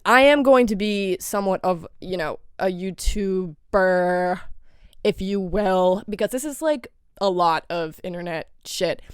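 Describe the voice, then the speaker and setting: A woman's voice: medium pitch, clear, resonant tone, dry recording, clean studio sound. A young woman hosting her own podcast, congested from a cold, talking in a rambling, candid way with lots of swearing and filler words. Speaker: podcast host. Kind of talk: casual solo podcast monologue.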